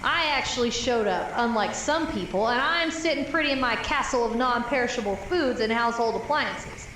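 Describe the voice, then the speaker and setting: An actress playing a jaded, sardonic store employee making mock announcements over an intercom in an apocalypse audio drama. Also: female voice, a little high, animated, moderate pace, fairly bright tone. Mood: bitter, sardonic. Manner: sarcastic mock-announcer delivery. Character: jaded young female superstore employee, lone apocalypse survivor, sardonic. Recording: echoing intercom, distant, faint noise.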